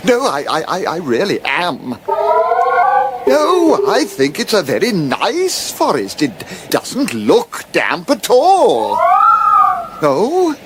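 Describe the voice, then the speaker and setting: An actor playing a fussy, politely awkward British wizard in a radio comedy, hesitant, repetitive and flustered. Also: male voice, sits high, heavy background noise, exaggerated voice. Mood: flustered, apologetic.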